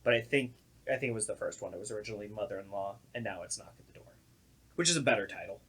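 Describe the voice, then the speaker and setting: A man's voice: lowish voice, rapid-fire, fairly bright tone, breathy edge. A man chatting casually and informally as the director of a radio play, with a sardonic, joking streak. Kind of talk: casual group podcast chat.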